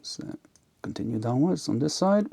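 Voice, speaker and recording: A man giving casual, unscripted hands-on narration as an electronics hobbyist, halting and half talking to himself as he works. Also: on the high side, big pitch swings, gravelly, dark tone, slightly rough. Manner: halting, muttering to himself while working.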